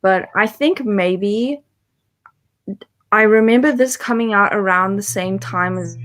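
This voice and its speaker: A woman's voice: medium pitch, unhurried, a bit bright. Female book club co-host, mid-range voice, informal conversational delivery. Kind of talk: casual chatty book discussion.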